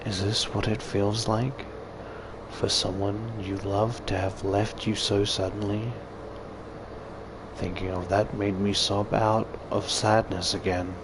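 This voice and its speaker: A man's voice: lowish voice, little pitch movement, slow pace, background noise. A man reading a visual novel aloud as a gaming YouTuber, with casual, profane commentary and exclamations.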